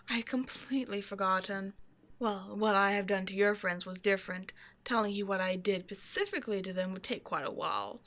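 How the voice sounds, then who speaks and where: A woman's voice: medium pitch, fairly bright tone, close to an everyday voice. Woman acting a haughty, condescending vampire scientist. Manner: condescending, dry, measured, sighing. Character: cold, haughty century-old female vampire scientist, wryly menacing. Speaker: voice actor in an audio roleplay. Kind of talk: scripted ASMR roleplay monologue, speaking to a listener.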